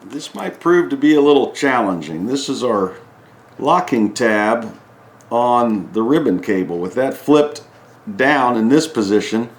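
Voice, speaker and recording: A man with a mid-pitched voice, recorded in an echoey indoor room. He explains a repair in a casual, step-by-step way, pausing and thinking aloud as his hands work. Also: lively intonation. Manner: halting, thinking aloud while working with hands. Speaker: DIY repair video host. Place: indoors at a home kitchen countertop. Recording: echoey hard-surfaced room.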